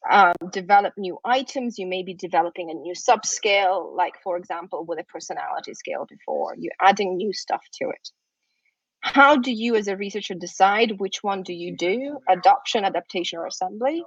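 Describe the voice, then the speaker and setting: A woman's voice: mid-range voice, no echo. Woman lecturing, dull lo-fi call audio. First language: Russian. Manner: conversational, checks in with "right?". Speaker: workshop presenter. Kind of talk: academic lecture. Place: remote video talk. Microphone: computer microphone on a video call. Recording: dull, lo-fi online conference audio.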